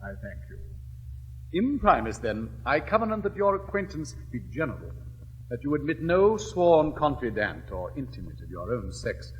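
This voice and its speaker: An actor playing an elegant, witty gentleman suitor in a classic stage comedy, speaking in a crisp, measured, declamatory way. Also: mid-range voice, very animated, muffled recording, lightly acted. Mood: wry. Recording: reverberant old recording, faint hiss.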